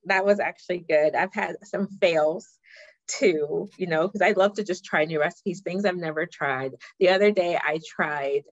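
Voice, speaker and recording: Podcast guest, woman in her 30s, low voice, chatty with fillers, dry and a bit dull sound. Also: moderate pace, no room sound. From Ghana. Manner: chatty, frequent fillers and restarts. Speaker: marketing director, podcast guest. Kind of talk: casual interview chat.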